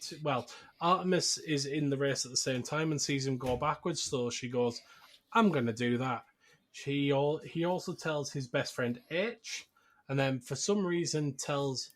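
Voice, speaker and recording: A man hosting a podcast, casually recapping a film in a jokey, conversational way. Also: medium pitch. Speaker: podcast host.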